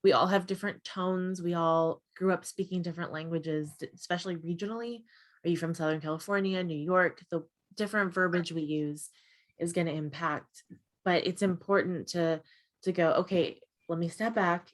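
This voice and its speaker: A woman's voice: fairly low pitch, fairly even intonation, normal speed. A young adult woman, a guest expert in a webinar conversation, explaining earnestly and often tagging her points with "right?". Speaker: guest expert on mental health.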